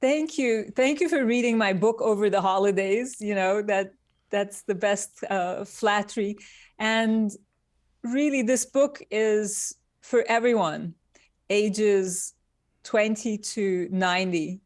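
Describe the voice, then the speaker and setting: A woman from Turkey, speaking as an interview guest in unhurried, deliberate phrases. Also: mid-range voice, no room sound, studio quality. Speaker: guest, industrial designer. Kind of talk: conversational interview answers.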